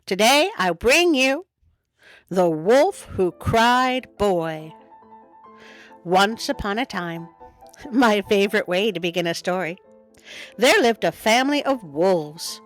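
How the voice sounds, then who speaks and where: A woman reads a picture book as a storytime host, swooping expressively through the lines at an unhurried pace. She is playful and drifts into chatty asides, with soft music underneath. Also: medium pitch. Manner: playful, expressive, self-interrupting.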